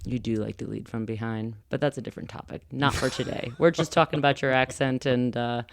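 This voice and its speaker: A woman's voice: very deep voice, fairly even intonation, brisk. A woman hosting a casual podcast interview, teasing and playful, laughing often. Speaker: podcast host.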